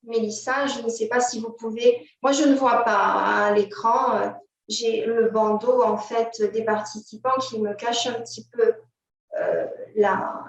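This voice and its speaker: A woman with a fairly high voice talks slowly through a slide presentation of her novel, hesitating often with filler sounds. She sounds distant from the microphone in a strongly echoing room. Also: steady tone, creaky. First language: French. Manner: halting, many filler "euh", directs an assistant to change slides. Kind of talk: slide presentation at a book fair. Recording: roomy echo, distant from the mic.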